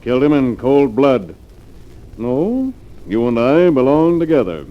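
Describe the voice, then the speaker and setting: An actor playing a ruthless, self-satisfied wealthy financier in the style of a 1940s radio drama. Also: male voice, medium pitch, big pitch swings, unhurried. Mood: menacing. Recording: muffled, noisy old radio recording.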